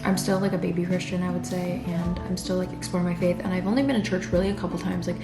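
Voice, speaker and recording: A woman's voice: fairly low pitch, steady tone, quick pace. A young woman from California chatting casually and rambling, with many fillers and restarts, while getting ready at home. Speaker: vlogger. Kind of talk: casual chat. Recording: roomy sound with background music.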